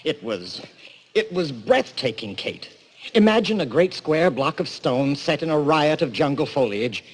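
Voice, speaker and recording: An actor playing an obsessed, imperious explorer in a 1940s radio drama, intense and theatrical. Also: male voice, on the high side, very animated, a little slow, husky, exaggerated voice, rough recording. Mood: awed, intense. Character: obsessed, imperious male explorer back from the jungle.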